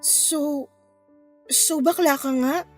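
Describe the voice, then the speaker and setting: A woman's voice: sits high, steady tone, very slow. An actress playing a hesitant, troubled young woman in a Filipino radio drama. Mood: hurt, confused. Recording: music under the dialogue.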